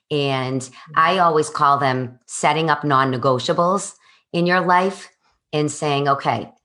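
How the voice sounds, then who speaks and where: A woman's voice: low-pitched, a bit bright. A woman in her forties, a fitness coach, speaking in an emphatic, encouraging way. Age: 40s. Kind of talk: motivational interview answers.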